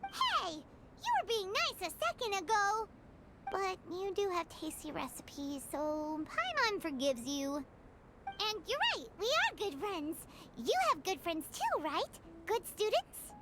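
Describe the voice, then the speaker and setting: A woman voicing a tiny, chirpy, blunt fairy companion in a video game, bubbly and excitable. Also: takes it slowly, very noisy, exaggerated voice. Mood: indignant. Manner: bubbly, squeaky, excitable, refers to herself in third person. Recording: game soundtrack playing under voice.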